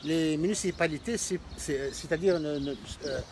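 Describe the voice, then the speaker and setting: Casting: Tunisian-accented man, high-pitched, explanatory tour-guide talk with emphatic repeats, noisy phone recording. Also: moderate pace, a little mellow. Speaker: artist and cultural centre owner showing a visitor around. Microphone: visitor's handheld phone.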